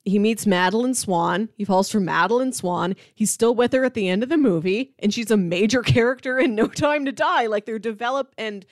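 A woman's voice: a little high, fairly quick, hardly any pauses, no echo, studio quality. A woman co-hosting a casual film discussion in an articulate, explanatory way. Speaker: podcast co-host.

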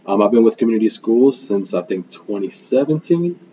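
Earnest low-voiced man, native Spanish speaker. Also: expressive, brisk, mellow, highs cut off, slight background noise. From Milwaukee, Wisconsin, USA. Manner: earnest, reflective, frequent ums and "right?". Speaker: guest, school program manager. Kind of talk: conversational interview answers.

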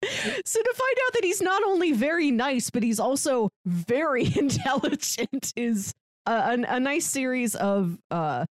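A woman's voice: high voice, sing-song, unhurried, dry recording, clean studio sound. A woman co-hosting a podcast, chatting casually and enthusiastically about a book. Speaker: podcast co-host.

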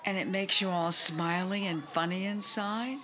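A woman's voice: medium pitch, fairly even intonation, moderate pace, pushed and bright, a little hiss or hum, natural voice. An older woman acting a teasing, exasperated young schoolgirl with a secret crush in a radio play. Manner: teasing, dramatic.

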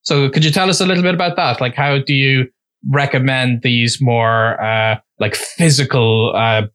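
A man's voice: medium pitch, steady tone, moderate pace, a bit bright. A man hosting an interview, asking a question hesitantly with "uh" and "you know" between phrases. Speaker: interviewer, host.

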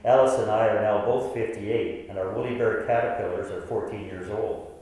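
A man's voice: on the low side, normal speed, darkish tone, lightly acted. A man plays a devoted narrator in his late fifties, telling his story in a radio play. He sounds distant from the mic in a very echoey hall. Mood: reflective. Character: devoted 58-year-old man narrating his long experiment. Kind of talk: scripted first-person radio play narration.

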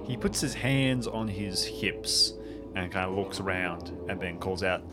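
A man's voice: low voice, a little slow, loud music behind the voice. A man casually improvising narration of his character's actions as a player on an adventure podcast.

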